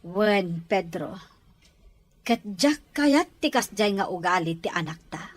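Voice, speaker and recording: A woman playing a concerned, reasonable mother in an Ilocano radio drama, with a husky voice. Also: medium pitch, lively intonation, slow.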